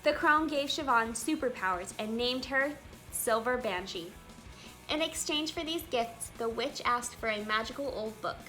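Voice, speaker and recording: A woman hosting a children's read-aloud, telling a storybook in an animated, expressive way with emphatic exclamations. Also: fairly high pitch, normal speed. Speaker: read-aloud channel host. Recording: music behind the voice. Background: music.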